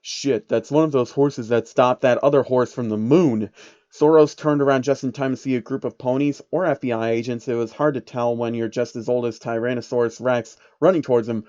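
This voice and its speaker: A man's voice: medium pitch, fairly even intonation, brisk, a little mellow, dry recording. Dry, muffled man narrating irreverently. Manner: irreverent, sweary asides. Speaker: narrator of online fan fiction. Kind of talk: casual storytelling with comic asides.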